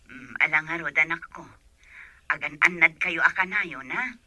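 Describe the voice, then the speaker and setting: A woman's voice: low-pitched, normal speed, dry recording, full character voice. A woman playing a worried, doting mother in a radio drama, heard through a telephone filter. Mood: anxious, caring. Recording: thin, sharp phone line.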